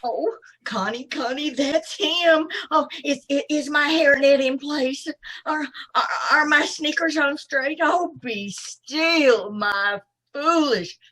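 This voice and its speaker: A woman's voice: sits high, takes it slowly, a bit bright. A woman playing a fluttery, lovestruck, scatterbrained older landlady in an old time radio comedy, swooning and dithering. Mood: flustered, swooning. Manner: dithering, swooning, breathlessly flustered. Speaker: amateur radio troupe actor.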